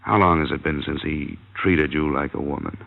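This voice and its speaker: An American actor playing a tough, cynical insurance investigator in a radio crime drama, with a clipped, blunt delivery. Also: male voice, extremely low pitch, moderate pace, vocal fry, heavily acted. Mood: hard, accusing. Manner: clipped, hard-boiled, blunt. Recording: dull vintage radio recording.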